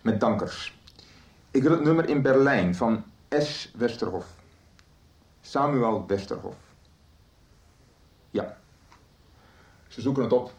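A hesitant man searching for a lost friend, who stumbles and repeats his words. His mid-pitched voice rings in a roomy studio, as in an old regional radio play. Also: animated, slow, long pauses. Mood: businesslike. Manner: stammering, hesitant. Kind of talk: 1990s regional radio drama acting.

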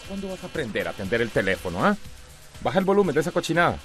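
An irritable, bullying middle-aged father in a radio drama. His Costa Rican voice is gruff and swings widely in pitch, with music playing underneath. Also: very animated, rapid-fire, a bit bright. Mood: scornful, bossy. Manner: gruff, scolding, contemptuous. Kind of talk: radio soap opera acting.

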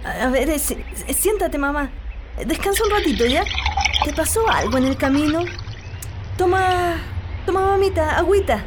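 An actress playing a caring young Chilean woman, a daughter who speaks solicitously and haltingly in a radio drama. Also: sits high, lively intonation, heavy background noise. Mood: alarmed, caring. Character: caring, concerned grown daughter.

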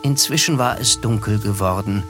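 Male actor as wistful narrator, lowish voice, introspective, measured, literary radio drama, clear music underneath. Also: close to an everyday voice. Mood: wistful, tender. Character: reflective, wistful male narrator recalling his past. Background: prominent music under the voice.

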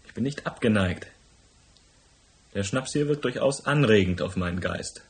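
A sly hotel bellboy in a noir radio play. His voice is fairly low, and he is obliging in a cheeky way, with an eye on the tip. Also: expressive, moderate pace. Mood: curious, willing. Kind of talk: noir radio drama acting.

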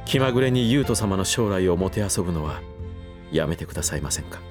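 A man's voice: sits low, expressive, fast talker, music in the background. A man playing a composed, dutiful servant who speaks with formal, restrained courtesy in a voice drama. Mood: grave. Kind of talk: voice drama acting.

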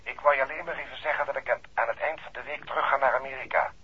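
An actor playing an easygoing, friendly caller, heard as a telephone voice in a 1960s Dutch radio play. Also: male voice, medium pitch, steady tone, racing, husky. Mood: friendly, casual. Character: easygoing male acquaintance telephoning the detective. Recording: dull telephone sound, old radio recording.

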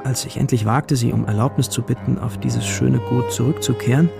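A lovestruck young male student recounts a dreamlike encounter in a radio drama. His wistful, hesitant voice sits at a middle pitch with a creaky, gravelly grain, and music plays clearly behind him. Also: fairly even intonation, fairly quick. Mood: hesitant, yearning. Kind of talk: first-person literary narration in a radio play.

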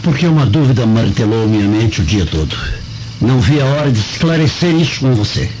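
A man's voice: medium pitch, expressive, brisk, darkish tone, close to an everyday voice. Sardonic, probing Roman official and husband male actor, radio drama, mid-range voice, muffled recording. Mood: bitter. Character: bitter, suspicious Roman husband, government adviser. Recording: dull lo-fi audio with music.

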